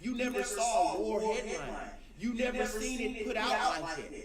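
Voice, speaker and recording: Heated man shouting a rant. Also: sits high, lively intonation, normal speed, reverberant, recorded from a distance, over a noisy crowd. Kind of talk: political rant.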